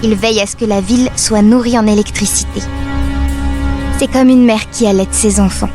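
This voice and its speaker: A woman playing a watchful doll who narrates an audio drama in a measured, tender, descriptive way. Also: mid-range voice, fairly even intonation, music in the background, lightly acted. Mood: calm, watchful.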